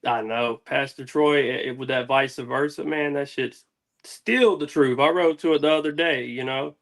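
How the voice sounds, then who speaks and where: A man's voice: mid-range voice, moderate pace, a bit bright. Slangy American man in casual interview. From United States. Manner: slangy, profane, peppered with "man" and "dude". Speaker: music artist being interviewed.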